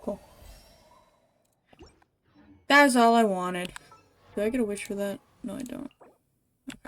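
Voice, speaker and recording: A woman's voice: mid-range voice, measured pace, fairly bright tone. A woman game streamer chatting casually to viewers as she plays. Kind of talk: casual livestream chat while playing a game.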